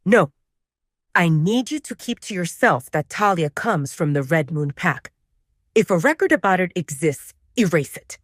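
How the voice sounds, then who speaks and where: A woman's voice: fairly low pitch, expressive, unhurried, no room sound, clean studio sound. A woman voicing a protective male werewolf pack leader in an audio drama, with terse, decisive delivery. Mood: commanding.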